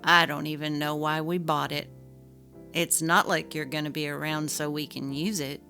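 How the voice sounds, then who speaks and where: A woman's voice: low voice, steady tone, normal speed, gravelly, full character voice. A woman voicing a patient, neglected husband who pleads earnestly in a dramatic story reading. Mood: pleading. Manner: earnest, gently reproachful. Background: faint music.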